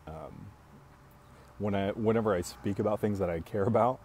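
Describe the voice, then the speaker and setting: Deep calm monotone man. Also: a little hiss or hum. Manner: speaks slowly and reassuringly to viewers. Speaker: live stream host. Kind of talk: casual live stream chat.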